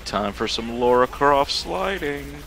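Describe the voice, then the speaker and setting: A man's voice: medium pitch, animated, slow, vocal fry, background noise. A man joking along as a co-commentator on someone's gameplay, laughing often. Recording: loud gunfire underneath.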